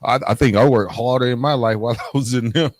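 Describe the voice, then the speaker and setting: A man's voice: medium pitch, fast, dry recording. A man hosting a podcast, chatting casually as he interviews a guest. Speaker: podcast host.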